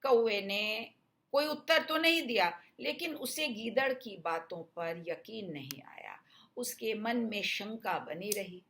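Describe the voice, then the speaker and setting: A woman's voice: mid-range voice, animated, slow, fairly bright tone. A woman narrating a scripted children's story in an explanatory way meant for young listeners.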